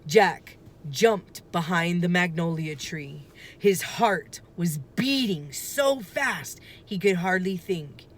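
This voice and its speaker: A woman reading a children's story aloud like a teacher, with deliberate, dramatic phrasing and emphasis. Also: on the low side, lively intonation.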